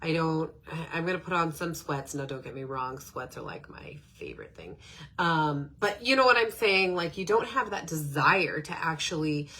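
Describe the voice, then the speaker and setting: A woman's voice: deep voice, expressive, normal speed, fairly bright tone. A middle-aged woman with a stuffed-up nose and a nasal voice, hosting a casual, rambling self-help talk with many fillers. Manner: chatty and rambling, with frequent fillers. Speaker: podcast host.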